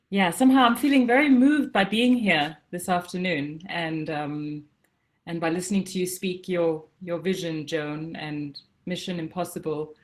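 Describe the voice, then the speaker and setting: A woman from Denmark and Zimbabwe, speaking as a webinar guest over a video call in a slow, reflective way with many pauses and ums. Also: deep voice.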